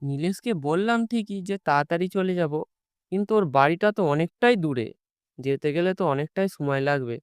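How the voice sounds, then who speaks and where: Man playing a high-voiced friendly young traveller. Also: lively intonation, fairly quick, smooth, dry recording, clean studio sound, lightly acted. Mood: musing, hesitant. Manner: conversational, muttering to himself, repeating words. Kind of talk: animated horror story dialogue.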